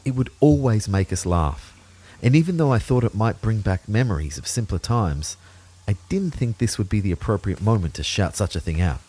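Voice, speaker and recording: A man's voice: lowish voice, lively intonation, normal speed, dark tone, slightly rough, low-quality recording. A man playing an anxious, introspective man who narrates his own story in a reflective, wry way for an audio drama. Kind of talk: first-person scripted audio drama narration.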